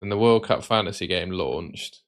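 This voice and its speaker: A man hosting a live stream with casual, matey chat that can turn excitable and sing-song. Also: low voice, moderate pace. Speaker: livestream host.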